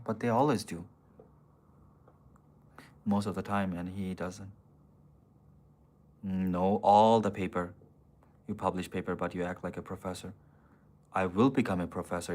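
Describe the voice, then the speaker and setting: Blunt, low-voiced man streaming live. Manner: blunt, profane, argumentative with chat. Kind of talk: casual live-stream chat, answering viewer comments. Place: city streets outdoors.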